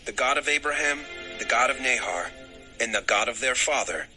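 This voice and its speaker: An actor playing a possessive, solemn patriarch in a dramatized scripture recording, speaking in emphatic declarations. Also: male voice, medium pitch, expressive, moderate pace, sharp tone, soft background music. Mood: solemn, warning. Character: Laban, possessive, solemn patriarchal father-in-law. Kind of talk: dramatized audio scripture acting.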